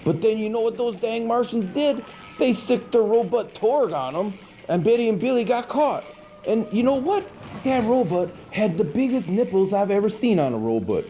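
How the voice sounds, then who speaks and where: A man plays a goofy, good-natured monster hosting a late-night horror movie show. His voice is fairly high and mellow, and he rambles hesitantly in a casual deadpan. The sound is a dull, slightly hissy 1980s videotape. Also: moderate pace. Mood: puzzled, rambling. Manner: rambling, hesitant, laid-back deadpan asides with pitch swoops. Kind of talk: casual comic hosting monologue. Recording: dull noisy 1980s VHS tape.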